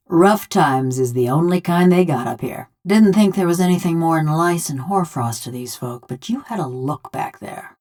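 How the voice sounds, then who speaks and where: Game role: bronze construct with a village woman's soul. Low female voice, folksy rustic drawl, wry and bent on revenge. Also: warm tone. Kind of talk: video game character dialogue.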